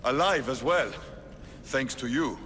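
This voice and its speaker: An actor playing a brash, hot-blooded Spartan general in a video game, speaking in a blunt, martial way. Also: male voice, medium pitch, animated, unhurried, bright, forceful tone. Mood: alert. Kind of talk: dramatic video game cutscene acting.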